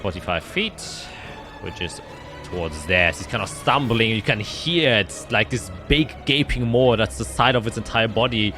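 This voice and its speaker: A man's voice: fairly low pitch, animated, normal speed, music in the background. A man narrating a tabletop roleplaying game as game master, describing scenes and calling out dice results.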